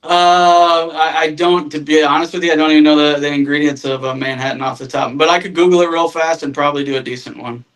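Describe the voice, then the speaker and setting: A man's voice: fairly high pitch, steady tone, fast, hardly any pauses, fairly bright tone, rough recording. A man in his thirties from Northern Indiana, a podcast guest talking casually and self-deprecatingly, with many fillers and restarts. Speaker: guest, RV sales manager.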